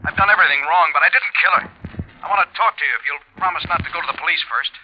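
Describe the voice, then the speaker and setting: A frightened fugitive on the phone. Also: male voice, fairly high pitch, very fast, slight background noise. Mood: anxious. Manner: anxious, pleading. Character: frightened young man on the run. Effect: telephone filter. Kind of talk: 1950s radio drama acting. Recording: tinny phone line on old radio.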